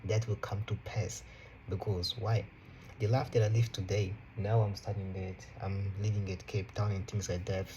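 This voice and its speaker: A young man around twenty whose first language is Portuguese, talking casually to camera from his room in an unhurried way, full of "you know" and "right". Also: on the low side, muffled recording, slight background noise. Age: young adult. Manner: relaxed, unhurried, repeats phrases, fillers like "you know" and "right". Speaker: vlogger. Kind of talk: casual motivational talk to camera.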